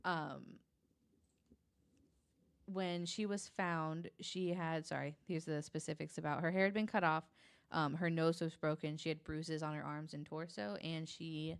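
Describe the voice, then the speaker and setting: A woman recounting true crime cases in a conversational, halting and informal way, hedging as she works from notes. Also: deep voice, steady tone, creaky, clean tone, no room sound. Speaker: podcast guest.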